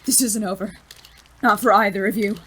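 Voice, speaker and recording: A woman's voice: mid-range voice, steady tone, moderate pace, fairly bright tone, breathy edge. Woman playing a defiant, commanding supervillain. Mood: bitter, threatening. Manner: commanding, menacing. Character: defiant, arrogant supervillain chemistry teacher with mind control. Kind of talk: audio drama acting.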